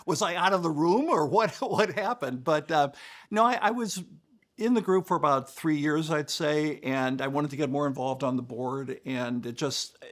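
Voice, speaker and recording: A man's voice: mid-range voice, a bit bright. Chatty, self-deprecating man. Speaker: orchestra president. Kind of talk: casual interview chat.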